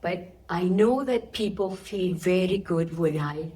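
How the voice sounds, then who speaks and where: Female interviewee, middle-aged or older, Spanish accent, slightly low register; an emphatic spiritual teacher sharing her experiences. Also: a little slow, mellow. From Spain. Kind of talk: interview answers with personal storytelling.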